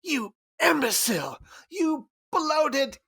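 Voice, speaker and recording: A woman playing a fussy, sly, excitable criminal schemer in a 1940s-style radio noir, with prim and precise phrasing. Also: high voice, slow, vocal fry, heavily acted. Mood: outraged. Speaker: stage actor in a radio play.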